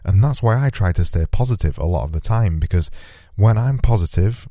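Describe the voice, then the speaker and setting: Podcast host, male, low dark voice, earnest and reflective, dry close sound. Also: brisk, dry recording. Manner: earnest, reflective, says he lifts his normally monotone voice. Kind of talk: solo podcast monologue.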